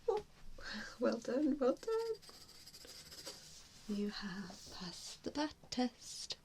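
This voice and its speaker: A woman doing an ASMR roleplay in a breathy whisper, speaking in a gentle, hesitant, reassuring way. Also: medium pitch. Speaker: ASMR roleplayer playing an assessor. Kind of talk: ASMR roleplay, softly whispered personal attention.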